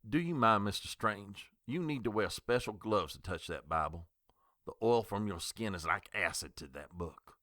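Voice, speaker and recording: An actor playing a defensive small-town doctor who curtly refuses a detective's questions in a radio drama. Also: male voice, lowish voice, lively intonation, normal speed, pushed and bright, no room sound, clean studio sound. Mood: indignant. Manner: curt and indignant.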